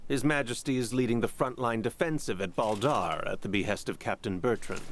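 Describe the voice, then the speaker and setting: A man's voice: medium pitch, a little fast, a little hiss or hum, lightly acted. A man playing a stern, cautious royal official in a video game, speaking in a formal, guarded, courtly way. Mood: stern, skeptical. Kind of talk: scripted video game acting.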